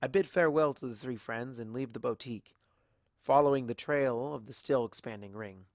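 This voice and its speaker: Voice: mid-range voice, moderate pace, no room sound, clean studio sound. A narrator reading a first-person story expressively. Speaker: fan fiction narrator.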